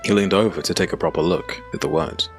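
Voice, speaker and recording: A man reading scripted dark fantasy narration in a measured, dramatic, gravelly voice. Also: low-pitched, soft background music. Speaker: audiobook narrator.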